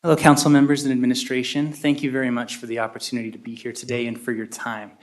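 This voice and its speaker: Council candidate, man from Utah, mid-pitched, fluent and courteous at a podium mic. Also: flat delivery, fast. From Utah, USA. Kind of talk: prepared statement at a public meeting. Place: city council chamber.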